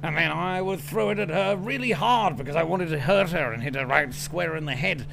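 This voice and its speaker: A man playing an eccentric, cranky old-timer crook in an improvised game roleplay, rambling and brazen with drawn-out words. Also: fairly high pitch, lively intonation, vocal fry, noisy background. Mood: rambling, defensive.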